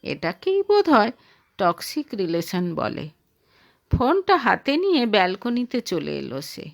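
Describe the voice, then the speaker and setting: A woman narrating a scripted story in an expressive, emotive storytelling manner. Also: mid-range voice, measured pace, vocal fry.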